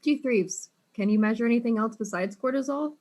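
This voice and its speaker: A woman playing a brisk, wry, world-weary detective in a comedic audio drama. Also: a little high, a little mellow, close to an everyday voice.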